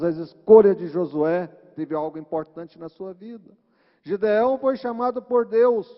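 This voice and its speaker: A man with a Brazilian Portuguese accent preaching an informal sermon, teaching in a conversational way with frequent tag questions. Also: fairly high pitch. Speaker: church preacher. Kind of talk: informal sermon retelling Bible stories. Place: large reverberant church hall. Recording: muffled, echoing church hall recording.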